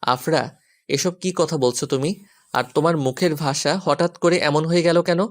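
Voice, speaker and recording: A man's voice: fairly high pitch, steady tone, normal speed, natural voice. A man playing a flustered, exasperated young husband who pleads and gives in, in a romantic audio story. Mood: bewildered.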